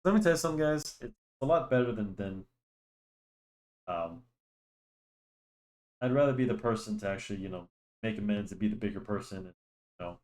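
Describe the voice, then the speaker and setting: Young male drawing host, low-pitched, rambling casual chat, nasal with a cold. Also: animated, a little fast, long pauses, darkish tone. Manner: rambling, lots of restarts and fillers. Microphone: desk microphone.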